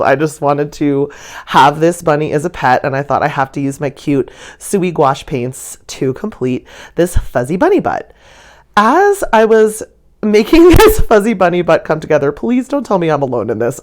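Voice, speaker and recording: A woman with a low voice narrates her art process in a chatty storytelling style. She is playful and gushing, leaning hard on favourite words and drawing them out. Her delivery is informal and giggly, like an online creator talking to followers. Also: a little mellow. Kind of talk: chatty storytelling voice-over about painting.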